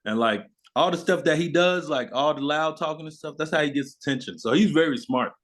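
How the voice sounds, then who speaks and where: A man's voice: on the high side, brisk, a bit bright. Young man, casual storytelling. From born in South Sudan, grew up in Memphis, USA. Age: young adult. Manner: informal, slangy. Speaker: guest, basketball player.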